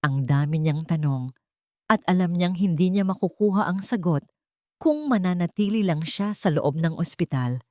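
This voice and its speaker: Female story narrator, lowish register, dramatic scripted delivery, dry studio-like sound. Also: animated, moderate pace, darkish tone, no echo.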